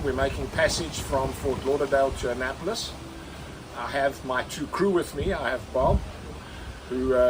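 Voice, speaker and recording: A man's voice: medium pitch, noisy background. A man speaking as a skipper and vlog host, telling a first-person story in an earnest way and repeating words for emphasis. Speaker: sailing skipper and video host.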